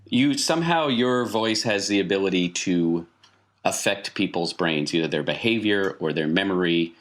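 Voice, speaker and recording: Male game master, slightly low register, improvised tabletop narration. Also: animated, moderate pace, a bit bright.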